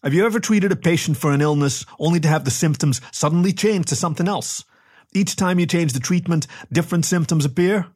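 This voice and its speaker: Guy doing a teasing spaceship captain for an audiobook, firm and emphatic, voice pushed higher. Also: fairly quick, a little mellow, natural voice. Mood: earnest.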